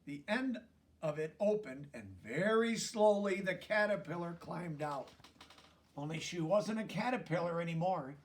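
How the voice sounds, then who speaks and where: A man reading a children's story aloud as an expressive narrator. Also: high-pitched, unhurried, recorded from a distance. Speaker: storybook reader.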